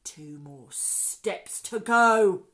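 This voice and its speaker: A woman voicing bumbling, confident male burglars in a children's story, in a drawn-out, deliberate way. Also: medium pitch, lively intonation. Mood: confident, sneaky.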